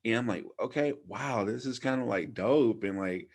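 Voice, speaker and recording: A man's voice: medium pitch, moderate pace. A man in his thirties from Louisiana hosting a casual interview in an informal, slangy, effusive way. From Louisiana, United States. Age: 30s. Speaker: podcast host, former DJ.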